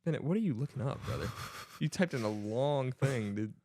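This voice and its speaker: Young guy hosting a casual sports podcast, average pitch, no echo. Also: lively intonation, fairly quick, warm tone, clean studio sound. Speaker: podcast host. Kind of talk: casual sports chat among friends.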